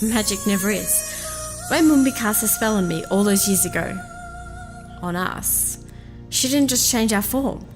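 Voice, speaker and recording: A woman playing a calm, knowing princess, an inner voice speaking with measured patience in a fantasy audio drama. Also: mid-range voice. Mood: calm, explanatory. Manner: measured, patient, gently insistent. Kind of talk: full-cast fantasy audio drama acting. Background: music.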